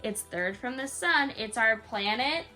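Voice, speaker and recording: A woman with a fairly high voice reads a rhyming picture book at bedtime. Her delivery is sing-song and aimed at a small child, slipping into casual, expressive asides. Soft music plays behind her. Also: measured pace. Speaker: parent reading a picture book aloud to a young child. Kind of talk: bedtime story read-aloud with casual asides.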